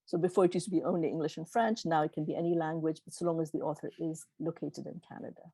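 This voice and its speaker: Mellow-voiced woman, Gujarati native speaker. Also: deep voice, fairly even intonation, fast talker, slightly rough, dry recording. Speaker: keynote speaker, literary publisher. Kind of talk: informal question-and-answer talk.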